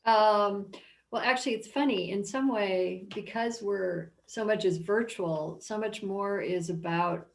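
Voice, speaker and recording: A woman curator speaking reflectively and deliberately in an informal panel discussion. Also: medium pitch, vocal fry. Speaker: art museum curator.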